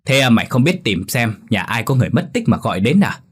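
A man doing a shouting hot-tempered village chief. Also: lowish voice, animated, fairly quick, slightly rough. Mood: angry. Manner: shouting, scolding.

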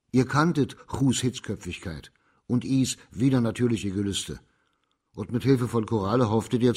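A stern, deep-voiced imperial judge. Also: male voice, slightly rough. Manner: formal, probing, articulate interrogation. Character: stern, authoritative male judge of imperial ancient China. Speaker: judge questioning witnesses. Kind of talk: radio drama acting.

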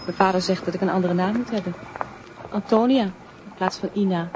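A woman's voice: medium pitch, brisk, natural voice. An actress playing a young, friendly, matter-of-fact schoolgirl in a radio play. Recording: noisy radio play recording.

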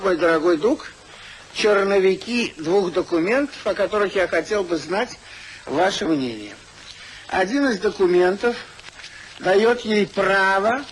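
An actor playing an anxious, trusting elderly gentleman in an emphatic, theatrical 1950s radio manner. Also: sits high. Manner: theatrical, emphatic, repeats himself. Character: fussy elderly husband, wronged, anxious, trusting English gentleman. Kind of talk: 1950s stage-style radio acting. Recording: noisy 1950s radio play recording.

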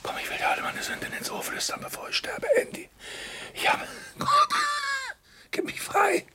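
A man playing a dying, guilt-ridden older priest in a radio drama, gasping his confession in halting whispers and anguished bursts. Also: close to an everyday voice. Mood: anguished, desperate. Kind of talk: German radio drama acting.

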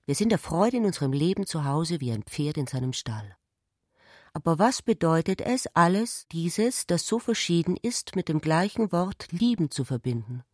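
A woman's voice: deep voice, big pitch swings, moderate pace, a little mellow, no room sound, clean studio sound. A woman giving scripted literary narration in a radio play.

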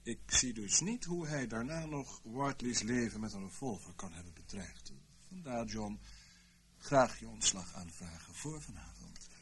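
Over clear background noise, a cold, commanding spymaster in a radio drama. His low voice swings widely in pitch as he makes dry, measured, sardonic points. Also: animated. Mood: cold accusation. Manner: measured, drily sardonic, coolly accusing. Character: cool, commanding male intelligence chief. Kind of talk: radio play acting. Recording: clearly noisy old radio play recording.

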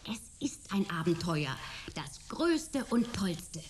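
A woman's voice: on the low side, sing-song, slow pace, slight background noise. A woman playing a spirited young adventurer in a sci-fi radio drama, gushing, teasing and insistent. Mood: teasing, defiant. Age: young adult. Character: spirited, enthusiastic, headstrong young woman, detective's friend.

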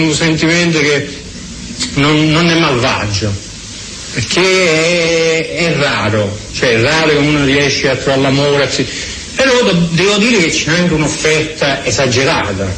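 Halting, rambling man in an echoey, noisy recording. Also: mid-range voice. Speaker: interviewee. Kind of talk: casual spoken interview.

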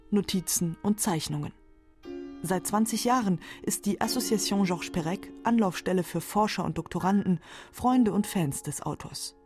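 A woman's voice: mid-range voice, warm tone. A woman narrating a radio feature from a script. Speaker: documentary narrator.

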